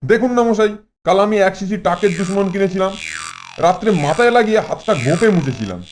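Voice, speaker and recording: A man's voice: sits high, animated, fast, slight background noise. A man voicing an aggrieved, complaining customer in a children's cartoon. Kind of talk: Bengali children's cartoon voice acting.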